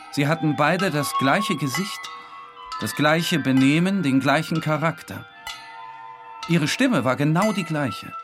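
Sombre, disdainful man over music. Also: fairly high pitch. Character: isolated, disdainful male narrator recounting his wretched life. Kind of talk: literary audio drama narration. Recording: music behind the voice.

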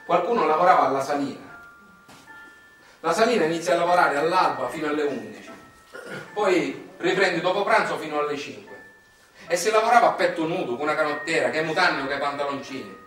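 Male actor as wry Sicilian refinery worker, high-pitched, impassioned stage storytelling, colloquial, very reverberant recording. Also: animated, fairly quick, fairly bright tone. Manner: declamatory, colloquial, sardonic. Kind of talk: theatrical monologue on stage. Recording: echoey distant stage recording with music.

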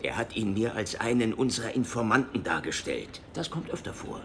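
An actor playing a brusque, bureaucratic police superior in a radio play, officious and curt. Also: male voice, fairly low pitch, lively intonation, brisk, noisy background, close to an everyday voice. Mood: curt, explaining. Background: faint music.

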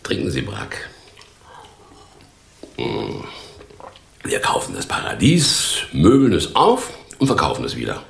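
Gravelly, very low-voiced grandiose drifter. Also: male voice, wildly swooping pitch, unhurried, pauses often, roomy sound, heavily acted. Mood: persuasive. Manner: theatrical, smooth-talking, persuasive salesman. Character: grandiose down-and-out former stage actor posing as fugitive businessman. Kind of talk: German radio play acting.

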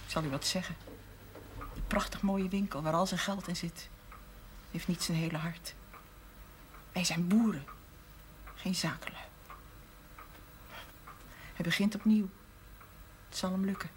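An actress playing an earnest farmer's wife who now keeps a shop, confiding and at times near a whisper, in a 1970s TV drama. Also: female voice, lowish voice, steady tone, unhurried, long pauses, slight background noise, close to an everyday voice. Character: earnest farmer's wife turned shopkeeper, middle-aged woman. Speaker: shopkeeper's wife.

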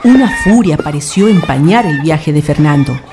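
A woman's voice: low-pitched, expressive, normal speed, over music. A Chilean woman reading scripted narration for a radio drama. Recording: clear background noise.

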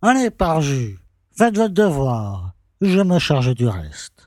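Bossy, mellow-voiced village mayor, played by a man. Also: fairly high pitch, wildly swooping pitch, slow pace, dry recording, full character voice. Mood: imperious. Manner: peremptory, repeats his orders. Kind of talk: French audio drama acting.

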